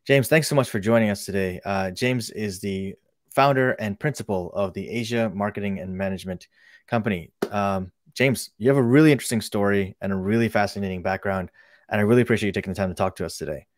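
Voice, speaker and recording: A male podcast host talks quickly and eagerly as he welcomes and prompts his guest. His voice sits fairly low and sounds dry and close. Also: no echo. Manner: enthusiastic, praising. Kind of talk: interview hosting.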